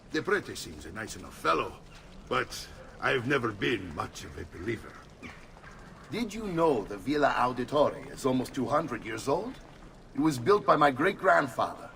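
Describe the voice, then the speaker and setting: An actor playing a jovial, blustering middle-aged Italian uncle in a video game, with an Italian accent and a hearty, theatrical manner. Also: male voice, mid-range voice, gravelly, fairly bright tone, a little hiss or hum. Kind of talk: video game cutscene acting.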